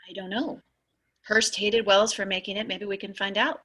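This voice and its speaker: A woman acts out an eager, excitable historian with a husky mid-range voice. She explains things knowingly and is easily starstruck, as in a TV drama read aloud. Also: medium pitch, normal speed, lightly acted. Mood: earnest explaining. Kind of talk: amateur table read of TV drama script.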